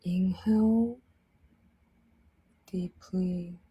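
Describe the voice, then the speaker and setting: A woman leading a guided sleep meditation in a soft, breathy, partly whispered voice, gently soothing, speaking in short phrases with long pauses. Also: lowish voice, fairly even intonation, muffled recording. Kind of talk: guided sleep meditation, slow instructional narration.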